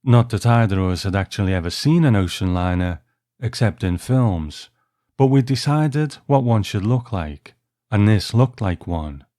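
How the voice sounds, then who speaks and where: A man's voice: fairly low pitch, animated, normal speed, mellow, no echo, studio quality, natural voice. A man reading a first-person short story as a wry young newlywed husband recalling a voyage. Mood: wry, reflective.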